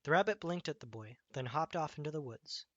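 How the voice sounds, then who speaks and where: A man reading a children's bedtime story aloud as an expressive storyteller. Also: a little high, a little fast, dry recording, dull audio.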